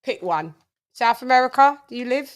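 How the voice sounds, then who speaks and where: A woman's voice: a little high, expressive, normal speed. A woman with an English accent hosting a casual live chat, laughing often and switching between English and Spanish. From England. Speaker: livestream host.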